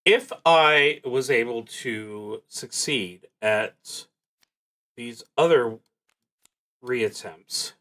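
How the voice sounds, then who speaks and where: A man thinking aloud in a halting, deliberating way as he streams a solo tabletop game. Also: medium pitch, bright, forceful tone.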